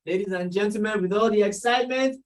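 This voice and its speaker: A woman's voice: mid-range voice, brisk. Woman, event co-host, hypes the crowd, distant from the mic. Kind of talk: live event hosting.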